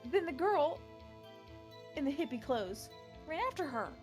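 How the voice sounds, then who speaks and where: A woman acting a high-voiced, coy young witness. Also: very animated, a little slow. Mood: insistent testimony. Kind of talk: amateur fan dub of a courtroom game. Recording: music behind the voice.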